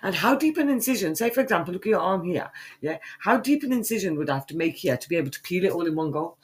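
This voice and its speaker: A British woman with a stuffy, congested voice trades crude, swear-filled banter with a friend. Her pitch swings widely from line to line as she teases and shouts. Her delivery stays loud and playful. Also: expressive, fast. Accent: British English. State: congested with a cold. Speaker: podcast co-host.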